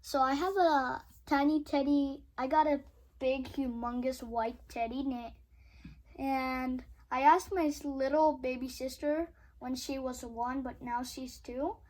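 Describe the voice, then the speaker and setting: Little girl reading a storybook out loud, really high voice, takes it slow, goes big on the noises. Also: fairly even intonation. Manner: acts out sound effects emphatically. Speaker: storybook reader. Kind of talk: expressive children's book read-aloud.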